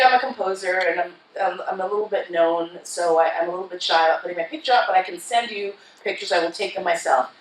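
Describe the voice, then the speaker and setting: A woman's voice: medium pitch, a bit bright, slight background noise. A woman giving a keynote talk to a live audience, telling her story in a frank, profane and comic way. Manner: frank, profane and comic, acting out her own past lines. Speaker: keynote speaker. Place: conference hall. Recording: roomy hall, voice from far off.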